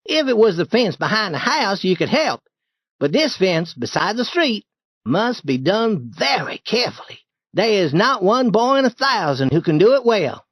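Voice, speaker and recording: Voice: mid-range voice, big pitch swings, moderate pace, a bit bright, husky, no room sound, voice pushed up, full character voice. A storyteller voicing a clever, mischievous young boy who coaxes and persuades. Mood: sly. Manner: coaxing, persuasive.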